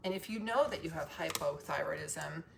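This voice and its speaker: A woman giving casual, rambling wellness advice with emphatic swearing and tangents, her voice strained from loud singing. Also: low voice, moderate pace, vocal fry, background noise. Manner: profane, emphatic, goes off on tangents. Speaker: wellness vlogger sharing a self-cure.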